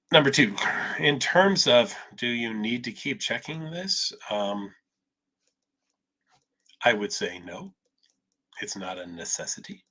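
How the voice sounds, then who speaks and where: Husky-voiced man answering questions live. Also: lowish voice, sharp tone, rough recording. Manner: explanatory, mildly sarcastic when acting out obsessive thinking. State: a little stuffed up. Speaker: psychologist answering viewer questions. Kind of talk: live Q&A talk.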